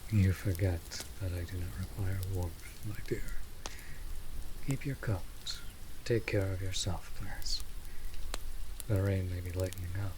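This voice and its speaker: A man voicing a wounded, courtly vampire in an intimate fantasy audio drama, tender and protective, speaking formally and in a hushed way. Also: sits low, steady tone, measured pace, long pauses, background noise. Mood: tender, weary. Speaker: voice actor in a romantic audio roleplay.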